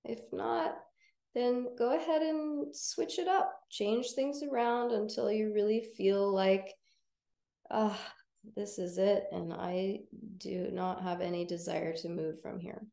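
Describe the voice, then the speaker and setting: A woman teaching yoga nidra, guiding relaxation in a gentle, soothing, unhurried manner with long pauses. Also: mid-range voice, fairly even intonation, creaky, roomy sound. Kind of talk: guided relaxation meditation.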